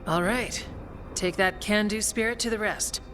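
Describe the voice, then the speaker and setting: An actress playing a plain-spoken, steady woman commander in a video game, with matter-of-fact phrasing. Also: mid-range voice, a little slow, faint music behind, close to an everyday voice. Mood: commanding. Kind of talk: dramatic video game acting.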